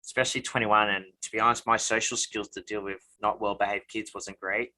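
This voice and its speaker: Bright-voiced Australian man hosting. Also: on the low side, fairly even intonation, brisk, poor audio. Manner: easygoing, leads the talk. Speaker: podcast host. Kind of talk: casual chat.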